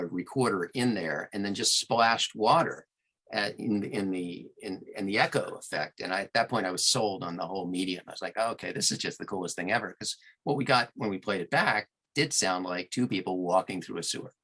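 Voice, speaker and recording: A man's voice: on the low side, normal speed, sharp tone, slightly rough, low-quality recording. A middle-aged man from Long Island, an audiobook producer telling stories conversationally as a podcast guest. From Long Island, New York, United States. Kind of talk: conversational storytelling in an interview.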